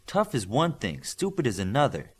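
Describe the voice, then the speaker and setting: A man reads as a young superheroine medic in an audiobook. She is brisk and bossy, her raised voice rising and falling freely as she explains and scolds in a clinical way. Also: mid-range voice, very animated, breathy edge, pitched above the performer's own voice. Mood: concerned, brisk.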